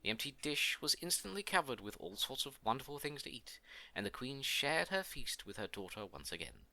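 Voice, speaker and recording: A male storyteller reads a fairy tale in a theatrical storybook style. His pitch swoops widely, and his bright tone has some creak, recorded close and dry. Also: sing-song, a little fast, dry recording. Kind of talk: scripted fairy tale narration.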